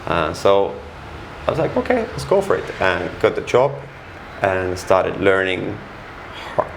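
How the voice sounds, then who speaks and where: Low gravelly-voiced Finnish man. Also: big pitch swings, slight background noise, rough recording. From Finland. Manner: frank, halting, many restarts and fillers. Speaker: guest. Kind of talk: casual interview.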